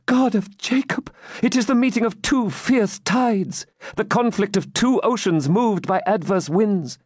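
A man's voice: high voice, unhurried, darkish tone, no room sound, clean studio sound, pitched above the performer's own voice. A man voicing a fervent, courageous young maiden with breathless, exclamatory, archaic storybook speech. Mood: agitated, urgent.

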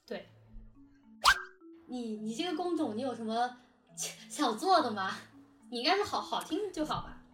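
Female host, higher-voiced, giggly and teasing, informal interview in a roomy space. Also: lively intonation, normal speed, pauses often. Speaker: host interviewing production team. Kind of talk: casual interview chat. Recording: roomy, distant microphone, music behind. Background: background music.

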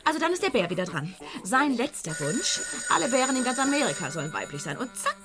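An actress playing a sardonic, fed-up woman who mocks her bossy man with a dry, deadpan joke in a radio play. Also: fairly high pitch, quick pace, music in the background. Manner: dry, mocking joke-telling.

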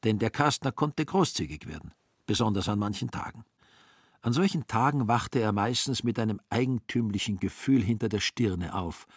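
A man narrating a literary radio play with dry irony in a slightly husky voice. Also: fairly low pitch, lively intonation, normal speed, no room sound. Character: dry, ironic male storyteller of a literary tale.

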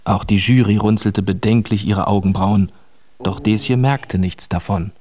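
Low-voiced male radio-drama narrator. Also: fairly even intonation, unhurried, a little mellow.

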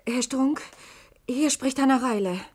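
A woman's voice: high-pitched, measured pace, darkish tone, husky, no room sound. An actress in a German radio play, playing an anxious woman in an intimate manner. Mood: anxious, pressing. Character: anxious woman recounting her search for a man.

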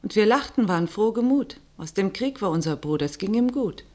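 An actress playing a cautious, fearful sister who recounts wartime terror in declamatory rhymed verse for a radio drama. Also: female voice, medium pitch, expressive, fairly quick, darkish tone, lightly acted. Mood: agitated. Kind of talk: theatrical verse radio drama, narrating and in dialogue.

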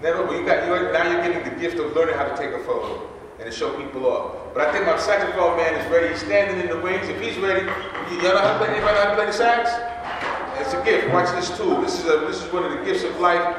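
A man's voice: high-pitched, quick pace, noisy background. A man working as a radio host, chatting informally and colloquially as he interviews people live, often repeating his phrases. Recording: echoey hall, distant mic, music behind.

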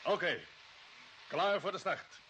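Higher-voiced commanding spaceship captain. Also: male voice, pushed and bright, muffled recording, a little hiss or hum, exaggerated voice. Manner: brisk, authoritative orders. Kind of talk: radio drama acting.

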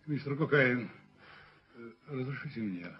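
An actor in an old radio play playing a dignified, self-righteous middle-aged landlord who speaks in measured, rhetorical phrases. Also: male voice, medium pitch, lively intonation, natural voice. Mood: suave, conciliatory. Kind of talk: 1970s Soviet radio play acting. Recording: muffled old radio recording with noise.

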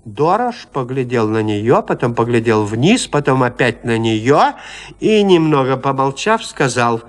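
A man narrating classic prose for an audio drama in a measured, theatrical storytelling manner. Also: mid-range voice, sing-song.

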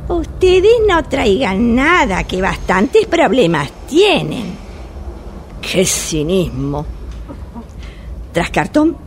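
Clear subway noise surrounds an actress playing an exasperated blind woman vendor in a radio play. Her Rioplatense Argentine speech is slow and emphatic, swinging widely in pitch as she complains with sarcasm. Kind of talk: radio drama monologue as phone call. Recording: loud subway train noise throughout. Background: subway ambience.

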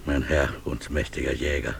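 An actor playing a big, humble travelling animal keeper in a radio drama, imploring and halting. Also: male voice, very deep voice, little pitch movement, gravelly, slight background noise. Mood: humble pleading.

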